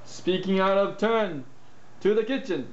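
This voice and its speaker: A man's voice: high voice, measured pace, a little mellow, echoey room, highs cut off, a little hiss or hum. A man voicing a pompous carrot emperor in an amateur puppet show. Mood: commanding. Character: stern, bossy male carrot emperor.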